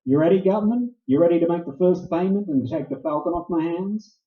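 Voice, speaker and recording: Casting: male actor as hard-boiled, wisecracking detective, clipped and sardonic, highly animated mid-range delivery, roomy stage sound. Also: brisk. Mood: defiant. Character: tough, cocky, wisecracking male private detective. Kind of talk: staged radio play acting, 1940s noir. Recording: roomy, dull live stage recording.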